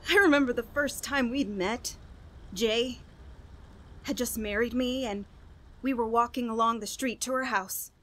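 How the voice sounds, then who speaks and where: A woman playing a despairing, bitter young murderess, halting and brooding, in an old-style radio horror drama. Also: fairly high pitch, fairly bright tone. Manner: halting, brooding, with anguished emphasis. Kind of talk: melodramatic 1940s-style radio horror acting.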